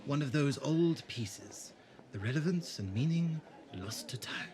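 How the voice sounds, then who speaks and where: A man's voice: fairly high pitch, lively intonation, a little slow, a little mellow, a little hiss or hum. A man playing a polite, bashful pottery seller in a fan audio drama, courteous and slightly hesitant. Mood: bashful, wistful. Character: polite, bashful market stallholder selling pottery.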